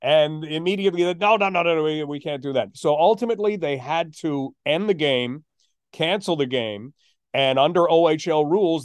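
A man hosting a sports podcast, telling stories conversationally with a deliberate, emphatic build-up. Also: on the high side, clear, resonant tone, dry recording, clean studio sound. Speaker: podcast host and sports announcer.

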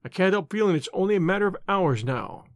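A male reader acts out a small, cocky policeman in an audiobook story. He is cheerful and opinionated, speaking informally with animated swings of pitch. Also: quick pace, no room sound. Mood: cheerful, confident. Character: cheerful, cocky, ferret-faced little male police inspector.

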